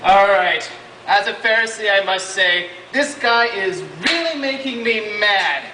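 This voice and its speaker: A man's voice: high-pitched, unhurried. A young man as a grumpy scheming Pharisee. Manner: plotting, hesitant, deadpan. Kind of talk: amateur class skit acting. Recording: roomy distant noisy home video.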